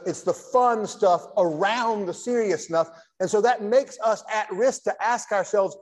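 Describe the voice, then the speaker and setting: A man in his sixties with a notably high voice speaks from a lectern in a large, reverberant church hall. He lectures like a pastor, phrasing deliberately and leaning on key words with pauses. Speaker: Baptist pastor, guest lecturer. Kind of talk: church lecture with preacherly delivery.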